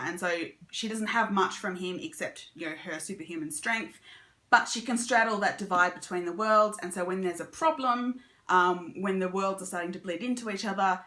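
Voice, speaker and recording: A woman's voice: mid-range voice, sharp tone, reverberant. A woman giving a chatty, casual book review as a vlogger. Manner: chatty, conversational.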